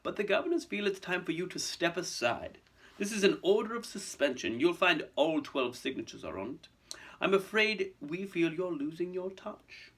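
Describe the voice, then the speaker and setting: Man acting a smooth, sneering aristocratic villain. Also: high voice, expressive, moderate pace, a bit bright, pitched above the performer's own voice, heavily acted. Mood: silky menace. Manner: lazy, smooth, mock-polite. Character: cold, sneering, smug aristocratic male villain.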